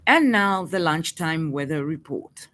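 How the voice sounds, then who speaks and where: A woman's voice: low voice, lively intonation, measured pace, fairly bright tone, a little hiss or hum. Zambian woman reading radio news over music. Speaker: news reader. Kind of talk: scripted radio news bulletin.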